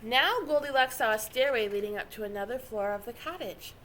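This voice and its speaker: A woman reading a children's story aloud with expressive, dramatic narration. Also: a little high, brisk, a little hiss or hum. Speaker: storybook reader.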